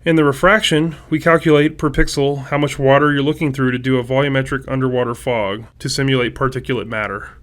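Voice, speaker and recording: A man's voice: medium pitch, steady tone, fast talker, hardly any pauses, fairly bright tone. A man reading scripted technical commentary as a game developer.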